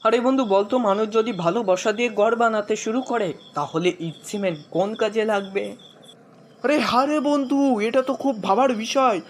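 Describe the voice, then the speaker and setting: A man's voice: high voice, fairly quick, noisy background, natural voice. A man playing a goofy, boastful young male character in a comedy cartoon dub. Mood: enthusiastic.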